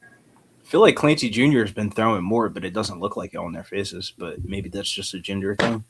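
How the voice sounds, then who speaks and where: A man's voice: fairly low pitch, normal speed, noisy background. A man co-hosting a live fight watch-along, talking in loose, slangy banter. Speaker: co-host, fight commentator.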